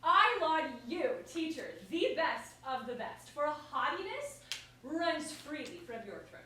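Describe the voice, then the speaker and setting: Woman acting a high, swooping, defiant witty heroine. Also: sing-song, a little slow, bright, forceful tone, heavily acted. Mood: scornful wit. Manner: theatrical, haughty, biting verse delivery. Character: witty, defiant common woman elected representative. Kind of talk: staged reading of verse comedy. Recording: echoey room, far mic, faint noise.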